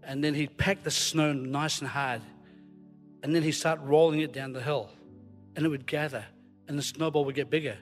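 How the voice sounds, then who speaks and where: A man's voice: a little high, steady tone, moderate pace, faint music behind. A Scottish man preaching informally to a congregation, telling stories in a jokey, self-deprecating way. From Scotland. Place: large hall, live before a congregation. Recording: long hall reverb, live church recording.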